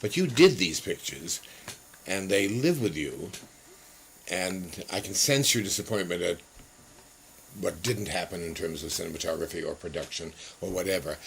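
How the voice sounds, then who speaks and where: A low-pitched, mature male interviewer speaks in an effusive, wordy way, circling around his phrases. It is a conversational interview on a noisy old tape in a studio office. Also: creaky, fairly bright tone. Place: photographer's home studio office.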